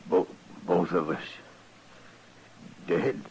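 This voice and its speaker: An actor playing a frightened, dying homesteader in an old-time radio western, weak and gasping in agony. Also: male voice, low-pitched, very slow, vocal fry, darkish tone, a little hiss or hum, heavily acted, rough recording. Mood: agonized, fading. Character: dying, gut-shot male homesteader, frightened.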